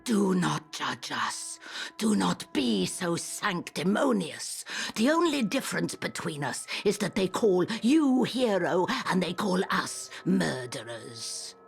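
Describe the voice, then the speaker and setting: A woman voice acting a defiant, menacing middle-aged peasant mother with a gravelly voice in a video game. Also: lowish voice, big pitch swings, takes it slowly, soft background music, exaggerated voice. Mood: defensive, defiant. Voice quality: gravelly, creaky. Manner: defiant, scolding, hissing emphasis. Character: menacing, sanctimony-hating murderous peasant mother.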